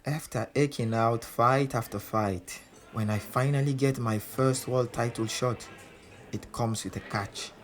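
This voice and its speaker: A proud, defiant boxer, played by a man, tells his story as audio drama narration. The voice sits in a middle-to-low male register, with music playing clearly behind it. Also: steady tone, a little slow, close to an everyday voice. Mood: proud, intense. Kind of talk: dramatic first-person storytelling.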